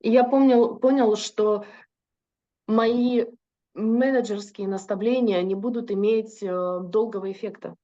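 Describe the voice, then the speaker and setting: A woman's voice: medium pitch, steady tone, measured pace, mellow, highs cut off. A woman interpreting a lecture consecutively, fluent but with frequent filler hesitations.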